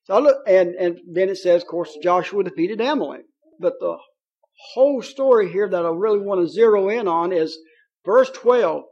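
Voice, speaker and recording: A man's voice: sits high, a little mellow, lo-fi sound. A man with a Kentucky accent preaching a sermon in a folksy, joking way, with many fillers. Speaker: pastor.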